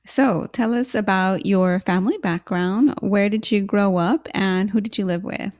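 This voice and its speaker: A woman's voice: medium pitch, a little slow, a little mellow, dry recording. A woman hosting a podcast, introducing her guest and asking friendly, upbeat questions. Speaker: podcast host. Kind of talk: casual podcast interviewing.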